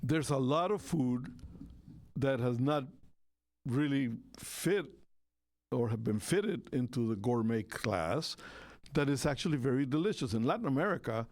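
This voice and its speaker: A man's voice: mid-range voice, lively intonation, a little slow, darkish tone, dry recording. A man from Rica, co-hosting a casual radio talk show and searching for words with many restarts. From Costa Rica.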